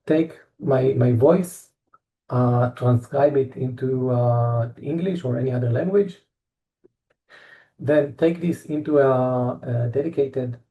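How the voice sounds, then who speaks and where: A middle-aged man with an Israeli accent giving a technical presentation, halting often with fillers and restarts. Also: mid-range voice, vocal fry, narrow, tinny audio. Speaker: tech company presenter.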